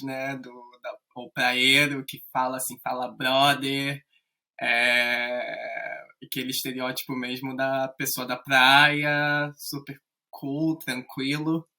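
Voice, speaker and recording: A man with a Carioca accent talks loosely as a podcast guest, wandering through his points with plenty of fillers. His voice is bright and forceful, at a middling pitch. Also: little pitch movement, slow. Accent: Carioca Brazilian Portuguese. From Rio de Janeiro, Brazil. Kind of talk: casual conversation.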